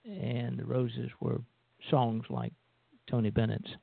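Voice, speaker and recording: Older man, creaky gravelly voice, halting casual chat, dry narrow phone sound. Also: medium pitch, little pitch movement. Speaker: radio show co-host.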